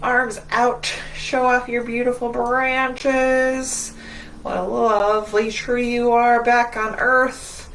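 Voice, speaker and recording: A woman's voice: a little high, flat delivery, slow pace, a bit bright, slight background noise, rough recording. A woman children's librarian leading a storytime, speaking to young children in an encouraging, singsong way. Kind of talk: children's storytime with movement and read-aloud.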